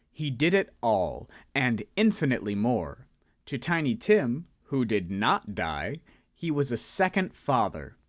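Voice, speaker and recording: A man narrating a radio drama in an expressive storytelling manner. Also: mid-range voice, dry recording, studio quality.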